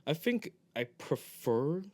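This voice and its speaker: A young man from Hong Kong chatting casually as a podcast co-host, rambling and halting with many restarts. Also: fairly high pitch, very animated. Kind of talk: casual chat.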